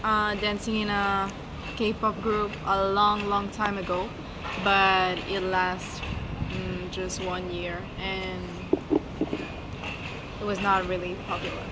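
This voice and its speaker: Slow-speaking young woman. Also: mid-range voice, completely flat delivery. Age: early 20s. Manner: unhurried, searches for English words. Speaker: college student co-host. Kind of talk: casual Q&A chat. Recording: noisy background hiss.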